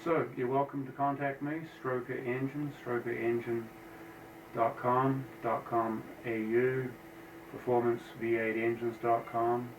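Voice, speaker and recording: A man's voice: mid-range voice, flat delivery, a little slow. A man with a mixed New Zealand and American accent, an engine builder talking plainly in a shop vlog, with many ums and uhs. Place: office. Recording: echoey room, noisy camera sound with breakup.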